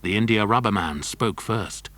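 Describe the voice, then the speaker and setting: A man's voice: on the low side, normal speed, a bit bright, slight background noise. A man narrating a children's adventure story in a scripted style.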